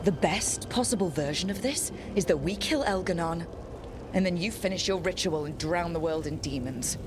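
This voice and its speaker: Woman acting a low-voiced, blunt fantasy heroine. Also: lively intonation, moderate pace, background noise, natural voice. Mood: angry, accusing. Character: blunt, betrayed, determined young female fantasy hero. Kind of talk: dramatic video game voice acting.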